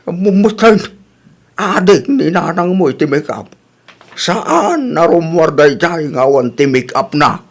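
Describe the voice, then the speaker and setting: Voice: mid-range voice, animated, a little slow. A performer with an Ilocano accent playing a grumbling, complaining old husband and father in a radio comedy drama, with exaggerated exclamations.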